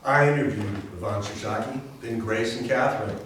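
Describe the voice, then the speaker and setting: A man's voice: fairly low pitch, moderate pace, fairly bright tone, natural voice. Man acting a resolute young attorney, emphatic phrases, readers theater narration, heavy room echo, faint background noise. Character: determined young male lawyer fighting for sick workers. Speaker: community theatre actor. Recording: very echoey room, distant mic, faint noise.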